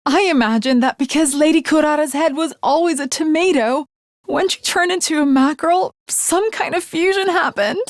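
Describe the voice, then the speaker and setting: A woman's voice: high-pitched, normal speed, no echo, studio quality, natural voice. A woman voicing a fervent young samurai maiden in an anime video game, with archaic, formal and theatrical diction. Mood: earnest, puzzled.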